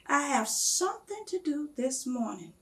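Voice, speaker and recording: A woman's voice: high voice, sing-song, unhurried, distant mic, exaggerated voice. A woman voicing an eager, determined nine-year-old boy in a storybook, polite and earnest.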